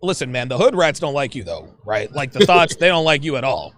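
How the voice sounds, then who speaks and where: A man hosting a casual panel podcast, animated and joking, often laughing, with a high-pitched voice. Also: a little fast, dry recording. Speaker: podcast host.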